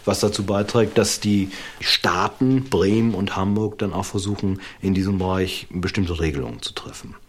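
A man with a very low, husky voice explains as an interviewed expert. He is didactic, stressing points through lists and repetition. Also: normal speed, few pauses. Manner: didactic, emphatic repetition and listing.

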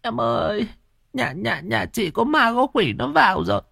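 A man's voice: high voice, wildly swooping pitch, normal speed, gravelly, sharp tone, dry recording, clean studio sound, pitched above the performer's own voice, full character voice. A man voicing a distraught mother, pleading and wailing shrilly and breathlessly in a horror story. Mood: tearful, pleading.

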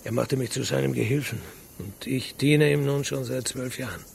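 Proud guard officer, heavily creaky voice, gruff, earnest, radio drama, faint night ambience. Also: male voice, medium pitch, steady tone, moderate pace, slight background noise. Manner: gruff, plain-spoken. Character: earnest, proud male city guard colonel, plain-born. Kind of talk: German radio drama dialogue.

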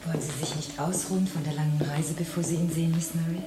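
A woman playing a very low-voiced, pious nun. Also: fairly even intonation, fast, close to an everyday voice. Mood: calm, reassuring. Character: calm, pious, kindly nun tending a patient. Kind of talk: radio drama acting. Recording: echoey, with music behind.

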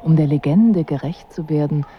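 An actress playing a languid, coolly ironic royal wife in a radio drama, with dry and formal diction. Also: female voice, sits low, moderate pace, highs cut off, slight background noise, lightly acted. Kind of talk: literary radio drama acting.

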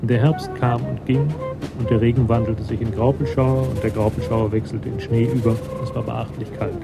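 A man's voice: medium pitch, fairly even intonation, normal speed, gravelly, over loud music. A man reading scripted literary narration as the storyteller of a radio drama.

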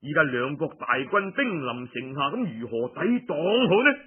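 A man's voice: fairly high pitch, big pitch swings, moderate pace, slightly rough, voice pushed down, exaggerated voice. A man with a Cantonese accent voicing an anxious, deliberating ruler of an ancient state in an audiobook, weighing his words. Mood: worried. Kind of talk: audiobook storytelling. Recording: muffled phone-like radio recording.

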